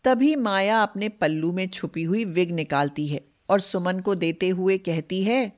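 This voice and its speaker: A woman's voice: lowish voice, measured pace, darkish tone. A woman narrating a scripted moral story as a storyteller.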